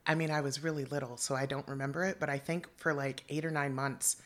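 A woman's voice: extremely low pitch, fairly even intonation, fast, a bit bright. A middle-aged woman, a guest on a podcast, chatting casually about her life and laughing often. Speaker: podcast guest, dance and theater teacher. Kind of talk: casual interview chat.

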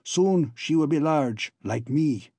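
A man doing Poulter, a sinister shouting giant. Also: on the high side, lively intonation, slow, slightly rough, no room sound, full character voice. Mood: proclaiming.

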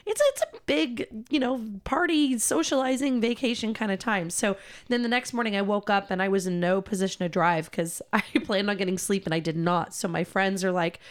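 A young woman co-hosting a podcast, talking casually with a slightly hoarse, scratchy voice, rambling with many fillers and laughs. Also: mid-range voice, brisk, few pauses. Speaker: podcast co-host. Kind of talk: casual chat.

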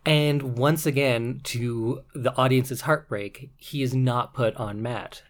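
A woman's voice: a bass voice, steady tone, slow. A woman with a Canadian accent, co-hosting a casual podcast chat in a frank way, swearing now and then. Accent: Canadian English. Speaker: podcast co-host.

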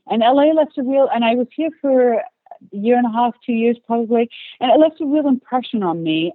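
A woman's voice: mid-range voice, no echo. A woman in her forties, raised in Australia, giving long, chatty answers with light laughs in a podcast interview. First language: English. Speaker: actress guest. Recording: tinny phone-like line.

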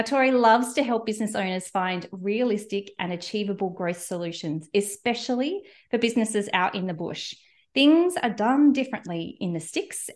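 Enthusiastic woman podcast host. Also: mid-range voice. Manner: enthusiastic, effusive. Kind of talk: podcast interview hosting.

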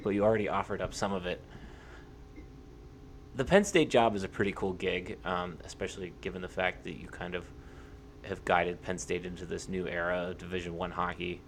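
Low-voiced young man hosting a casual interview. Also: a little hiss or hum. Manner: informal, hesitant phrasing with repeats. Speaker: podcast host, interviewer.

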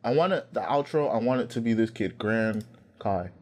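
A man's voice: on the low side, animated, moderate pace, loud music behind the voice. A man hosting a podcast in casual chat, rambling with lots of fillers and swearing. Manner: rambling, profane, filler-heavy. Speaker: podcast host.

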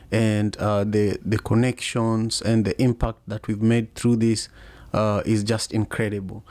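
A man hosts a casual live audio chat, his pitch staying level and unvaried. He speaks haltingly, with frequent fillers and restarts. The sound is dry and close, with no room echo. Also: darkish tone. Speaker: podcast host.

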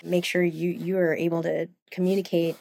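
Woman interview guest, low-ish voice, loose rambling delivery, filler-heavy. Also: flat delivery, moderate pace, gravelly, a little mellow. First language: Cantonese. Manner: rambling, many fillers, laughs often. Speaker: guest, comics artist. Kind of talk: casual interview chat.

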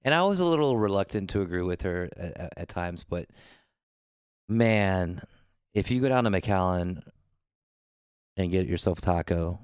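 A man with a very low, smooth and resonant voice talks casually on a podcast. He rambles and restarts his sentences in a laid-back way. The sound is dry and close, with no room echo. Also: expressive, moderate pace, pauses often. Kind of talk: casual chat.

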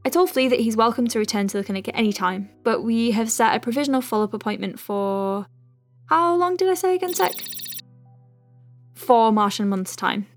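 A woman playing a caring, conscientious doctor in an audio drama, dictating clinical notes with gentle, chatty asides. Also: mid-range voice, close to an everyday voice. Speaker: lead character, physician.

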